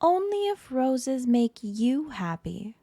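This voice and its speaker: A woman voicing a supportive, reassuring mother in a children's storybook, giving calm and gentle advice. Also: high voice, takes it slowly, no room sound, heavily acted. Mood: gently encouraging.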